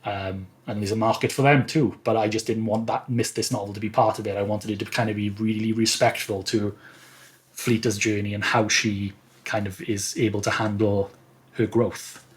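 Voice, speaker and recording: Low-voiced man as a podcast guest. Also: level tone, moderate pace. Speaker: podcast guest, self-published author. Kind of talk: casual interview answers.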